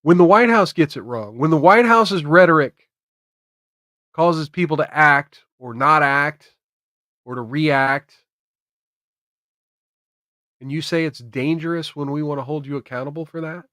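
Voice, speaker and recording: A man's voice: on the high side, a little slow, pauses often, sharp tone, no room sound, clean studio sound. An American man hosting a political commentary stream, speaking emphatically and repeating phrases for effect. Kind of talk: opinionated political commentary to camera.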